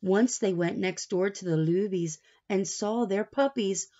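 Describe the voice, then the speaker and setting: A woman's voice: medium pitch, measured pace, warm tone, lo-fi sound. A woman reading a story aloud to young children like a teacher, expressively, with asides and questions left open for answers.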